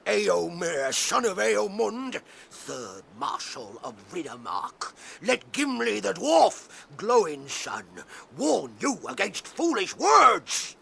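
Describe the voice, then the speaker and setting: An actor playing a proud, gruff dwarf warrior in a radio fantasy drama, speaking in a deliberate, declamatory way with a raspy voice. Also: male voice, high-pitched, huge pitch swings, sharp tone, a little hiss or hum, full character voice. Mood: proud, offended. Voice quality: gravelly, raspy.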